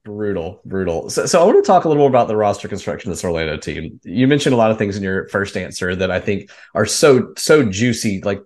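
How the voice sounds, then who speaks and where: A man hosting a sports podcast, chatting casually and putting questions to a guest. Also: lowish voice. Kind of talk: casual sports interview chat.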